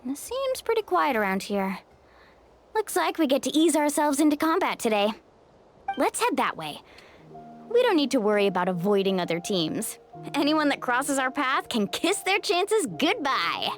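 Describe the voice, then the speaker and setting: A confident young fighter and team leader in a game. Her voice is high and lively, breezy and self-assured, over faint music. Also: normal speed. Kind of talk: game voice acting.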